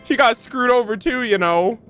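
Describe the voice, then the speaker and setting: A man hosting a gaming reaction video, chatting casually in an expressive, slangy way. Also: extremely high pitch, normal speed, smooth, soft background music.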